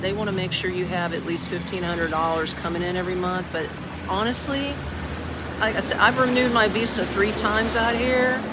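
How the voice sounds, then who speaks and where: An expat from Orlando, Florida chatting casually on a live stream from an outdoor garden café, rambling with lots of "like" and "man". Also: medium pitch. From Orlando, Florida, United States. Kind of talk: casual livestream chat. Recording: outdoor stream with traffic noise. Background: outdoor ambience with traffic.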